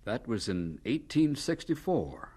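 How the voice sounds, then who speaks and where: A man announcing and questioning in a formal, measured old-time radio style. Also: mid-range voice, sing-song, mellow, breathy edge.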